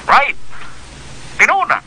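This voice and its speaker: A man playing a playful, teasing best buddy in a radio drama, with an animated delivery. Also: very high voice, slow, heavily acted. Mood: earnest. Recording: faint noise behind the voice.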